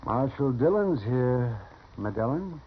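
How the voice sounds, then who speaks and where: An actor playing a resigned, folksy frontier doctor, hesitant and matter-of-fact, in a 1950s radio western. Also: male voice, medium pitch, expressive, slow pace. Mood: grave, resigned. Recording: noisy, muffled 1950s radio recording.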